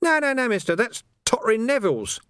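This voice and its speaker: A man reading a story, voicing a sly, cheeky street urchin boy with cocky slang in a high, lively voice. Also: brisk, smooth, no room sound, clean studio sound, heavily acted.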